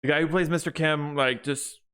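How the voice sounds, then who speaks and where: A man's voice: on the high side, fairly even intonation, moderate pace, a bit bright, clear, resonant tone. A young man co-hosting a podcast, chatting casually and rambling with many restarts and fillers. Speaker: podcast co-host. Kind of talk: casual chat.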